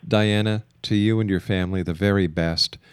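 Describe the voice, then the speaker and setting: A man hosting a talk radio interview, speaking in an emphatic, deliberate way and repeating phrases for emphasis. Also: lowish voice, fairly even intonation, smooth, dry recording, studio quality. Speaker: radio show host.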